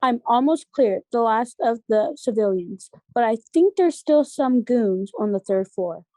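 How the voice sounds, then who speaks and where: A woman's voice: on the high side, lively intonation, measured pace, mellow. A woman playing a confident, direct young superheroine at an amateur script table read. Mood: urgent.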